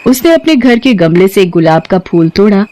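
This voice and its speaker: A woman's voice: mid-range voice, big pitch swings, normal speed, dark tone. A woman working as a narrator, reading a scripted story.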